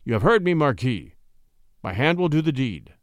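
A man's voice: medium pitch, very animated, normal speed, no echo, clean studio sound, lightly acted. Man playing a rash, blustering captain. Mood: bold, determined. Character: rash, forceful captain, hot-blooded male conspirator.

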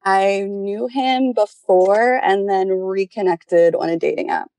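A woman's voice: medium pitch, takes it slowly, dark tone, dry recording. A young adult woman calling in for advice, giving a casual, conversational account of her personal life. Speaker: caller seeking relationship advice.

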